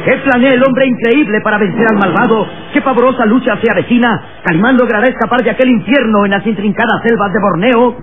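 A man's voice: very high voice, quick pace, few pauses, music in the background. A man narrating an old radio serial in a dramatic style. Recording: tinny, very noisy old radio recording.

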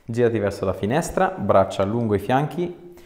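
Low-pitched man in an echoey room. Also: expressive, normal speed, a little mellow. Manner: reassuring, gives short instructions. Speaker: osteopath treating a patient. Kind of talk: casual clinical chat during a hands-on exam. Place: small indoor clinic room. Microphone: small mic clipped to scrub top. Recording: echoey small treatment room.

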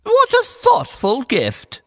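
A man's voice: very high voice, huge pitch swings, takes it slowly, bright, forceful tone, dry recording, clean studio sound, full character voice. A man playing a pompous, well-pleased fairy-tale king in a children's storybook, with a grand, delighted manner. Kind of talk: children's storybook character acting.

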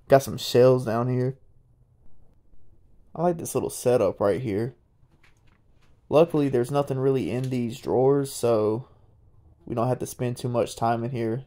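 A young man, narrating a casual, excited walkthrough of an abandoned house. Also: mid-range voice. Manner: excited, marveling, chatty. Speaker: urban explorer vlogger. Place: inside an abandoned old house.

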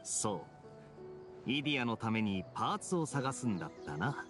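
A man playing a suave, self-assured young swordsman in an anime game, with a smooth, nonchalant way of talking. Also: medium pitch, very animated, normal speed, over music, natural voice. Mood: teasing. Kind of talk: Japanese anime game voice acting.

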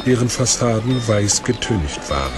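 A man's voice: on the low side, expressive, normal speed, over music, close to an everyday voice. A man narrating a fantasy audio drama as its scripted storyteller. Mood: measured. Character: male storyteller of a fantasy tale.

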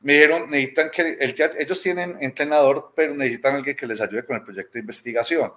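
A man's voice: medium pitch, fast talker, fairly bright tone. Colombian man from Medellín chatting. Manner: conversational, acts out quoted dialogue, often tags with "¿cierto?". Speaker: robotics teacher being interviewed. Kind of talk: casual storytelling in an interview. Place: small room at home, indoors, video call.

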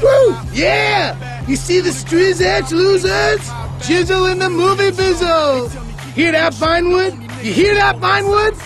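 Spoiled young American rich son, boastful, very high-pitched, game comedy. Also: male voice, a little slow. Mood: gloating. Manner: boastful, slangy, shouting. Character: bratty, entitled young son of a movie producer. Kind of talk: video game cutscene acting. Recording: music behind the voice.

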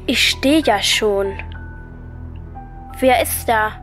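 A woman's voice: fairly high pitch, very slow, a bit bright, faint music behind. A woman playing a streetwise homeless girl in an audio drama, curt and dismissive. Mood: defiant, wary.

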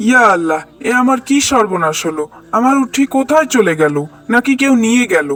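A man's voice: very high voice, expressive, a little fast, sharp tone, heavily acted. A man voicing a devout, trusting traveler in a cartoon, earnest and prayerful in manner. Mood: distressed, pleading. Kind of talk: cartoon voice acting.

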